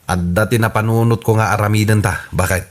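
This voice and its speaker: A man's voice: fairly low pitch, fairly even intonation, brisk, natural voice. A man playing a scheming old neighbor husband with an Ilocano Filipino accent in a radio comedy drama.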